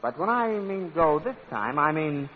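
A man's voice: fairly high pitch, big pitch swings, unhurried. A man hosting in the style of a 1940s radio variety show, with announcer patter. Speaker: radio show host and comic straight man. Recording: noisy, muffled old radio broadcast.

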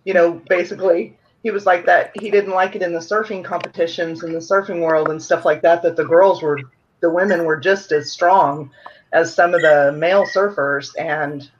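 A woman's voice: lowish voice, steady tone, moderate pace. A chatty woman rambles through her story in a casual call. The sound is dull, with a faint noise bed under it. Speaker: guest. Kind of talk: casual panel chat.

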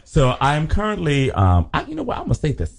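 Sassy, animated male storyteller. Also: on the high side, fast, gravelly. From Liberia. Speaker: podcast co-host. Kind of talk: casual gossip chat.

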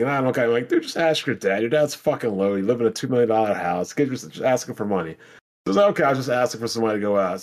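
A man hosting a casual podcast, telling stories and bantering in slangy, profane language. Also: on the low side. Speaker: podcast host.

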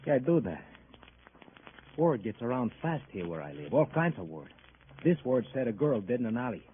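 An actor playing a bitter, defiant young fighter in a radio crime drama, with tough, sneering, clipped street talk. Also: male voice, mid-range voice, sing-song, moderate pace, lightly acted. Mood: scornful. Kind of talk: old-time radio crime drama acting. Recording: narrow, faintly noisy old radio recording.

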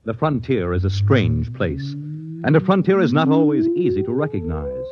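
A man's voice: medium pitch, very animated, normal speed. An American man working as a radio announcer, formal and theatrical in a scripted 1950s style. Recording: dull vintage radio broadcast with music.

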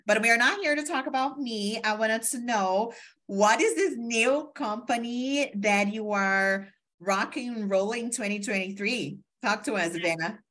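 A woman's voice: fairly high pitch, a little slow, fairly bright tone. A woman from Brazil, hosting a podcast interview in an effusive, chatty way with many fillers. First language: Portuguese. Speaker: podcast host. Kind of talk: casual interview.

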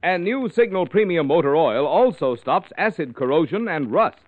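A man's voice: on the high side, expressive, moderate pace, dry recording. A man working as a radio announcer, with polished, emphatic 1940s commercial delivery. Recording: tinny old radio recording.

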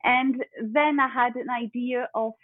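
A French-accented woman speaks over a thin, phone-like line with a dry, close sound. She talks casually and thoughtfully, pausing to hunt for English words. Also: a little high, steady tone, no echo. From France. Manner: thoughtful, searching for English words, laughs often. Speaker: guest author. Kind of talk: remote interview, casual.

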